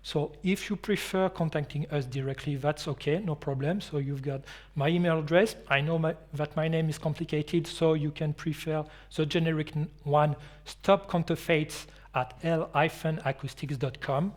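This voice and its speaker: Man presenting at an expo, halting. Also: fairly high pitch, fairly even intonation. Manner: non-native phrasing, halting restarts. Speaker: company anti-counterfeiting presenter. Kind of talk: conference presentation and Q&A.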